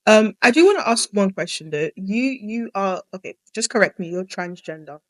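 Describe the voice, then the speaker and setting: A woman's voice: mid-range voice, lively intonation, moderate pace, no room sound. A young woman interviewing a guest, asking probing, teasing questions and murmuring agreement. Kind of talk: casual interview chat.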